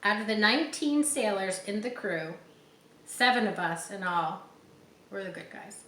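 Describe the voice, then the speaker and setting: Female children's storybook reader, medium pitch, expressive, pauses to explain. Roomy recording with faint noise. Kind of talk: storytelling read aloud with simple explanatory asides for children. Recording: echoey room with faint background noise.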